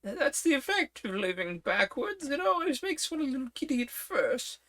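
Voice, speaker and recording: Scatterbrained old storybook queen, high and fluttery, a woman reading it. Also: sing-song, normal speed, a bit bright.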